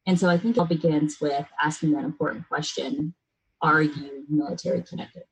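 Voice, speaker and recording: A woman's voice: deep voice, fairly even intonation, a little fast, slightly rough, low-quality recording. A middle-aged American woman speaking earnestly and bluntly in a serious conversational interview, with emphatic delivery. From United States, raised in a military family abroad and in Florida.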